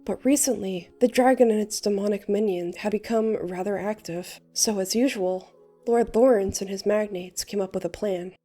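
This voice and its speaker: A woman's voice: mid-range voice, moderate pace, dark tone, faint music behind, close to an everyday voice. A woman playing a theatrical young male bard in a fan dub, telling tales with a lilting, old-fashioned delivery. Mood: grave storytelling. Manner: lilting, archaic rhyming delivery. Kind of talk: fan dub character acting and storytelling narration.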